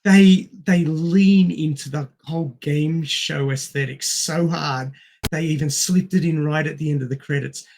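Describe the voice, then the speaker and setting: A man talks through a film in a casual review style. His fairly high voice has a lot of gravelly creak and sounds strained. He goes haltingly, thinking aloud as he speaks. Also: fairly even intonation, darkish tone. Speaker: film podcast host. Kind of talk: casual movie review chat.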